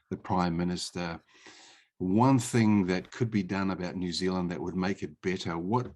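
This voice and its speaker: A man's voice: low-pitched, darkish tone. A man hosting an interview, asking friendly questions and stumbling over repeated words now and then. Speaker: interviewer host.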